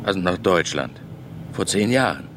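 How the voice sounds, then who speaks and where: An actor playing a calm, laconic middle-aged flight instructor, speaking in a dry, matter-of-fact way. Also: male voice, low-pitched, normal speed, background noise.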